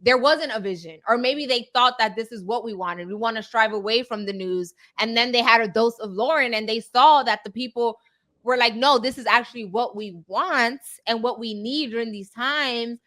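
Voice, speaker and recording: A woman's voice: fairly high pitch, moderate pace, a bit bright. A woman co-hosting a podcast, giving her opinions in a direct, conversational way and often checking in with "right?". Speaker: podcast co-host. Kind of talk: casual opinion discussion.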